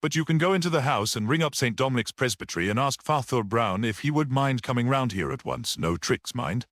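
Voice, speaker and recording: A man voicing a brisk, suspicious police detective who questions sharply and gives orders in a story. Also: mid-range voice, expressive, dry recording, studio quality, pitched above the performer's own voice, close to an everyday voice. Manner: sharp, interrogating.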